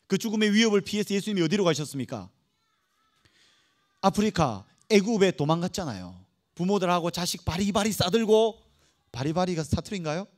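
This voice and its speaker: A male pastor delivers a sermon in a notably high voice for a man. He is emphatic and dramatic, stressing key phrases and drawing them out. He keeps turning to the congregation with rhetorical questions. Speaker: pastor preaching to young adults. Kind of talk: Protestant church sermon.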